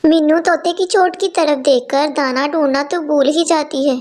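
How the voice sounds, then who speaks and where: A woman's voice: sits high, moderate pace, darkish tone. A woman narrating a scripted children's story.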